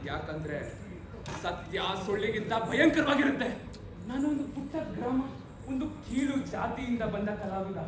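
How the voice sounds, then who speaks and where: In a very echoey hall with slight noise, a man plays a haunted outcast dancer in a stage play. He declaims bitterly in a high-pitched voice, stretching words with grief and passion. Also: fairly bright tone. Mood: bitter, solemn. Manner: theatrical, impassioned, drawn-out delivery. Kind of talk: declamatory amateur stage acting. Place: indoor stage, live play.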